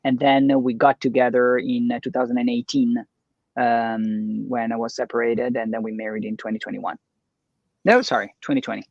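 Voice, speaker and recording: Italian-accented man, animated guest. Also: mid-range voice, gravelly, no echo. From Italy. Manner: animated, enthusiastic, quick to praise. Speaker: guest entrepreneur. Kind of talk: casual interview chat. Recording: dull lo-fi dry recording.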